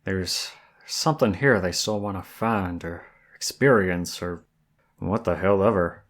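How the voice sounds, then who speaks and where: A man plays a friendly male werewolf guide with an easygoing Southern American drawl. His voice sits fairly low and moves very slowly. He is folksy and flirtatious, talking intimately to one listener as in an ASMR roleplay. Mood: calm, ominous.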